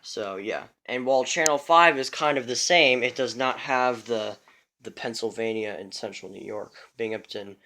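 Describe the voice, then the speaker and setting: A man's voice: lowish voice, gravelly, pushed and bright. A man talks casually about his radios in a home room, recorded on a phone. He rambles, fills gaps with ums, and restarts sentences as he corrects himself. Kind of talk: casual show-and-tell vlog. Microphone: phone camera.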